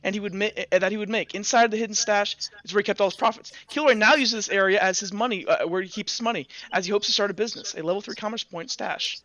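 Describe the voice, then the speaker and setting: A man's voice: high-pitched, quick pace, few pauses, sharp tone, dry recording, dull audio. A man running a roleplaying game in casual talk, insistent and defensive as he explains himself to the players. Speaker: game master. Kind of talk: casual game-table discussion.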